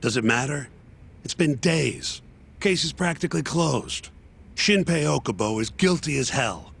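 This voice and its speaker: A gravelly, cynical cop. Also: male voice, on the high side, expressive, measured pace, fairly bright tone, a little hiss or hum, heavily acted. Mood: sardonic. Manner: sarcastic, crude, dismissive. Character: gruff cynical male police detective. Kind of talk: game dub acting. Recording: game scene with music behind.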